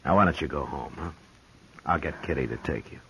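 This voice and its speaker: A man playing a steady, weary frontier marshal in a 1950s radio drama, calm and dry. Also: very deep voice, fairly quick, slightly rough, full character voice, poor audio. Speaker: narrator and marshal. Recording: 1950s radio broadcast with music.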